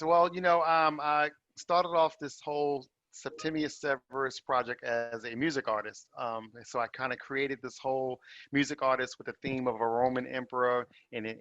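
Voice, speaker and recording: A man's voice: medium pitch, pushed and bright, no echo. A man chatting casually as an actor and music artist, heard through lo-fi call audio. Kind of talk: casual chat. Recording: dull, dry lo-fi call audio.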